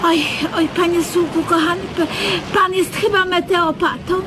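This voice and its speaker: A flustered fussy lady in old radio comedy, high voice, fed up, noisy recording. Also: level tone, moderate pace. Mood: flustered explaining. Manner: hesitant, stammering, flustered. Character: exasperated, fussy woman patiently explaining to a fool. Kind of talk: Polish comedic radio play dialogue.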